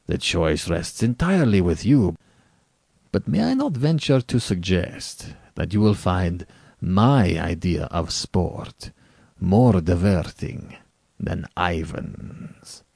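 A male reader performs an aristocratic hunter-general for a storybook. He is suave and falsely courteous, with a leisurely, condescending delivery. His low-set voice rises and dips extravagantly as he savors his phrases. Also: very animated, slow pace, creaky, a little mellow, husky, dry recording, studio quality, full character voice. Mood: courteous, persuasive. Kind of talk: dramatic storybook reading.

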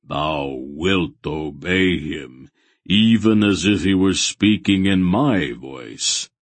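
A man's voice: low voice, takes it slowly, no echo. A man voicing a stern, majestic old god in a fantasy audiobook, with solemn, archaic, commanding speech. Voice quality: soft, solemn voice. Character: stern ancient bearded male god, grave and majestic.

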